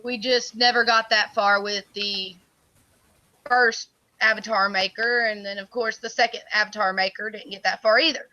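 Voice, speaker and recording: A woman with a loud voice chatting casually on a computer microphone while streaming art work. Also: medium pitch, steady tone, moderate pace, dry recording, lo-fi sound. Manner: loud voice, says so herself. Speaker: community project lead. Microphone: computer microphone on a voice chat.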